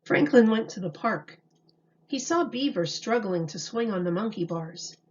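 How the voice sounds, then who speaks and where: A woman reading a children's story aloud like a teacher, in a deliberate, expressive way. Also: medium pitch, lo-fi sound.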